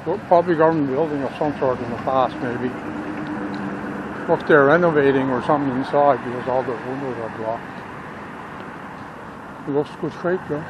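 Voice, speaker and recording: A man's voice: mid-range voice, moderate pace, pauses often, lo-fi sound. A middle-aged man, casually commenting on a walking tour and reading out signs as he passes them. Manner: muttering, reads signs aloud, trails off. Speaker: vlogger filming a walking tour. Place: outdoors on a small-town street. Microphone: body-worn action camera. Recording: loud street traffic noise outdoors.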